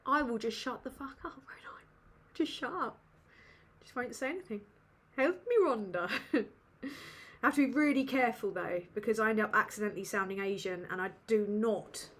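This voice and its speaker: A woman's voice: medium pitch, moderate pace. A woman with a British English accent hosting a casual livestream Q&A from a dim room at home, rambling and sweary with lots of ums. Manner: rambling and sweary, with many fillers. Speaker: livestream host.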